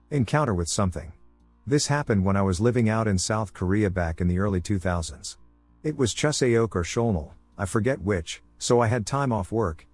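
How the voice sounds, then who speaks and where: A man narrating scary first-person stories in a calm, measured storytelling voice. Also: fairly low pitch, dry recording. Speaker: narrator reading listener stories. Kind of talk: scripted horror story narration.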